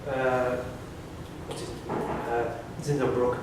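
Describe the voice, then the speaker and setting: A male pub quiz contestant with a medium-pitched voice, answering casually. He is far from the mic, in an echoing room with clear noise. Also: little pitch movement, slow pace. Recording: distant, echoey room, clear background noise.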